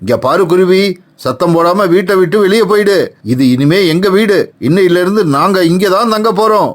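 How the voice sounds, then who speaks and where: A man's voice: high voice, fairly quick, lightly acted. A man voicing a scheming, greedy male crow in a cartoon, blustering and demanding. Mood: bossy. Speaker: cartoon voice actor. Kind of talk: animated cartoon voice acting.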